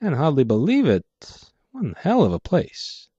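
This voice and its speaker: Guy doing a smug cold clockwork mob boss, higher drawly voice, dull sound. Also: wildly swooping pitch, measured pace, mellow, slightly rough, no room sound, exaggerated voice. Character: cold, self-satisfied metallic gangster boss. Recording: dull muffled lo-fi audio.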